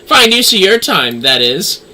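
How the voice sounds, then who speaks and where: A man's voice: high voice, sing-song, unhurried, bright, forceful tone, voice pushed up, exaggerated voice. A man voicing a brash, shrewd female merchant in a video game, with a mocking, salty manner. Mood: mocking, brisk.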